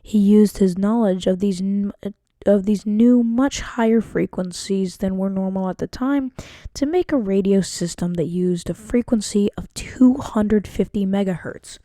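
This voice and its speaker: Woman, mellow soft-edged tone, medium pitch, dry close sound, careful scripted narration, student documentary narrator. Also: no echo. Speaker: narrator of a student history project. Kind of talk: scripted narration read aloud.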